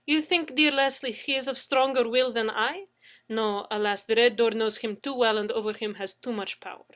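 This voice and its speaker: Woman doing a blunt fierce lady, bright and forceful. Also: a little high, fairly even intonation, moderate pace, natural voice. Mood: wry. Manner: blunt, broken English.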